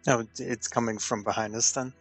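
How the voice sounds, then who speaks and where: Husky man playing a tabletop game. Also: mid-range voice, steady tone, brisk, faint music behind. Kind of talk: casual chat.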